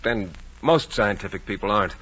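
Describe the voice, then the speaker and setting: An actor playing a shy, earnest American biology teacher in a 1940s radio sitcom, literal-minded, hesitant and a bit pedantic. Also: male voice, fairly low pitch, expressive, normal speed, fairly bright tone. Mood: bashful, pedantic. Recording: dull, noisy 1940s radio recording.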